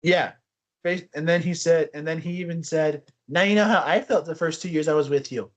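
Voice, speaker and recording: A young man co-hosting a casual podcast, telling stories in a rambling, animated way with frequent restarts. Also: fairly high pitch, fairly quick, highs cut off. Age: young adult. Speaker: podcast co-host. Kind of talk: casual chat.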